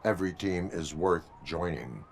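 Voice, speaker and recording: An actor playing a stoic cyborg policeman in a video game, speaking in a stiff, formal deadpan. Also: sits low, moderate pace, vocal fry, a little hiss or hum. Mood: stern, flat.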